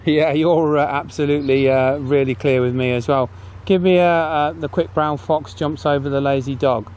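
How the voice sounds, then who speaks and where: A man hosting a casual video outdoors, chatting in a halting way and checking in often as he tests gear. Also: fairly high pitch, steady tone, darkish tone. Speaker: host. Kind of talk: casual outdoor video commentary and walkie-talkie testing. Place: outdoors on a path. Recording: outdoor background noise, faint.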